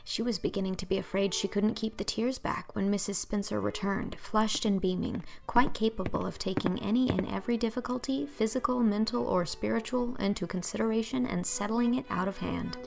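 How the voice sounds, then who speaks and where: A woman's voice: mid-range voice, steady tone, fairly quick, creaky, hardly any pauses. A woman narrator reading a scripted storybook audiobook.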